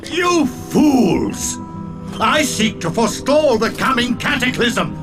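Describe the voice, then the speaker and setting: A man's voice: extremely high pitch, very animated, slow pace, heavily acted. A man playing a pompous, fanatical armored villain in a video game, declaiming in a grandiose, theatrical way. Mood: grandiose fury. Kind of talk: video game cutscene voice acting. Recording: loud music under the voice.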